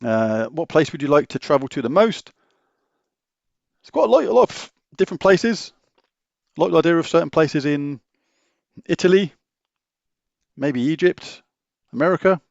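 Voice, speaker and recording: A middle-aged man with a British accent chats through viewer questions in a matter-of-fact, rambling way, often restarting his sentences. His voice has a gravelly edge. The recording is dry and close, with a slightly dull, lo-fi tone. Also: a little high, lively intonation, moderate pace, pauses often, a little mellow, dry recording. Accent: British English. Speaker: YouTube gaming channel host answering viewer questions. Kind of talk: casual Q&A chat.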